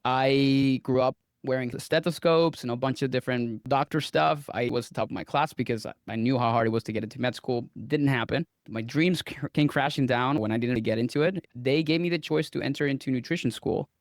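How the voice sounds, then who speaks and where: A man's voice: medium pitch, fairly quick, few pauses, no echo. An earnest man answers interview questions like a storyteller. He sometimes repeats a word as he speaks. His voice is mid-range, and the sound is dry and close to the mic. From Venezuela. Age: 30s. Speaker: guest dietitian.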